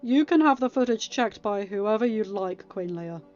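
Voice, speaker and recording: A woman's voice: medium pitch, lively intonation, moderate pace, darkish tone, lightly acted. A woman acting young male king, stern, formal, firm, story reading, slightly dull recording with music.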